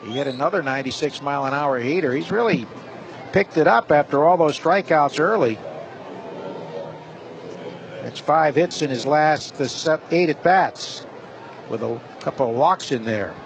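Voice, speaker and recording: A man's voice: fairly high pitch, normal speed, gravelly, pauses often, some chatter behind. A man doing live radio play-by-play of a baseball game as the announcer. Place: stadium broadcast booth. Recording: steady stadium noise behind voice. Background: loud ballpark ambience.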